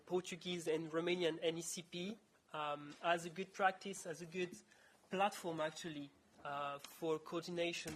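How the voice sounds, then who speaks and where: A man's voice: on the high side, fairly bright tone. A man presenting a project on a conference panel, hesitant with many fillers and restarts.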